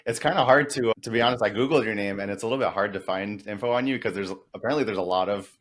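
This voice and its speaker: A man's voice: on the low side, very fast. A man hosting a podcast, asking casual, chatty questions. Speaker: podcast host. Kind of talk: podcast interview.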